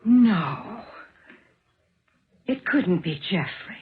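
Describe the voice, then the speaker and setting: A woman's voice: medium pitch, lively intonation, drawn-out words. An actress playing an anxious, loyal policeman's wife with an earnest, hesitant delivery in a 1970s radio drama. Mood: shocked, disbelieving. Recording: muffled, tinny old radio broadcast recording.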